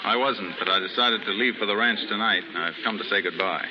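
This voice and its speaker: An actor playing an earnest, protective and quietly wounded man in a 1930s radio drama, sincere and plain-spoken. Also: on the low side, brisk, close to an everyday voice. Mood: resolved. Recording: muffled, reverberant, hissy 1930s radio recording.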